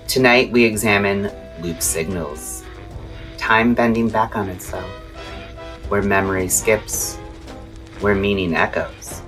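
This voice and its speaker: A woman playing a mysterious late-night radio host, theatrical and ominous, with cryptic, measured delivery and a husky voice. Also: very deep voice. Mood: ominous, hushed. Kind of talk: scripted eerie radio storytelling narration. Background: music.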